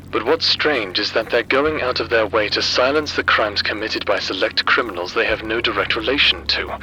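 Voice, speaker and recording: A man playing an earnest, reserved private investigator in an anime roleplay audio drama, speaking in measured, formal phrases. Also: fairly low pitch, bright, forceful tone, a little hiss or hum, rough recording. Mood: pondering. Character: earnest male private investigator, reserved, secretly fond of client.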